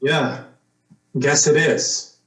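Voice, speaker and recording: A man's voice: on the high side, steady tone, drawn-out words, a bit bright. Man playing a curt young man, echoey. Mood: guarded. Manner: curt, resentful. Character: bitter young man, wronged former best friend. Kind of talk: old-time radio drama acting. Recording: roomy, echoing recording.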